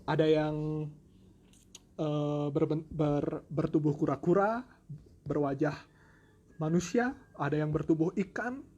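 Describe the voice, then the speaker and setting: A man's voice: on the high side, takes it slowly, long pauses, warm tone, lo-fi sound. A man telling a folk tale in a playful, joking way, with asides to his listeners. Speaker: storyteller. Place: at home.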